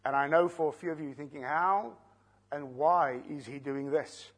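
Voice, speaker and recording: A man, an Afrikaans speaker, teaching a sermon verse by verse, slowing down to stress key words and putting questions to the congregation. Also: fairly high pitch, husky. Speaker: preacher. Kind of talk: expository Bible teaching.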